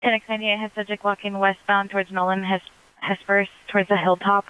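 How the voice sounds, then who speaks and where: A woman's voice: medium pitch, little pitch movement, fast, sharp tone, smooth, low-quality recording. A woman working as a police dispatcher, giving clipped calls over a radio. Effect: police radio transmission.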